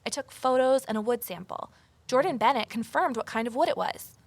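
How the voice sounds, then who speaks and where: An actress playing an inquisitive amateur sleuth woman in an audio drama, expressive and pointed in her delivery. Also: fairly high pitch, fairly quick, dry recording. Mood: curious, suspicious. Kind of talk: full-cast audio drama acting, narration and dialogue.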